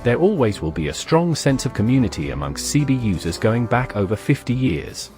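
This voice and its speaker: A man reading scripted documentary narration in a measured, explanatory way. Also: medium pitch, very animated, over music.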